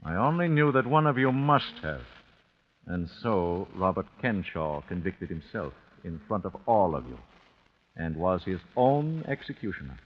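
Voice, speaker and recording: A man acting in a 1940s radio drama as a grim, wealthy, vengeful father, speaking in a deliberate, solemn, formal way. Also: deep voice, sing-song, full character voice. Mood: grim, composed. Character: grim, vengeful wealthy father, coolly judging his suspects. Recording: muffled, hissy 1940s radio recording.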